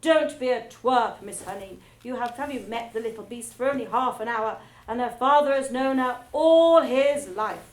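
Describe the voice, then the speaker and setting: A woman voicing a bullying headmistress who barks her lines loudly and scornfully. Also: fairly high pitch, moderate pace, hardly any pauses, reverberant, close to an everyday voice. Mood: scornful bellowing. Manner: loud, scornful barking. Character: bullying, barking female headmistress.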